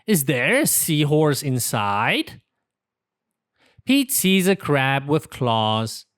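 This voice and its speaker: A woman's voice: deep voice, big pitch swings, drawn-out words, no room sound. A woman reading a picture book aloud to young children, enunciating simple sentences carefully. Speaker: children's story reader.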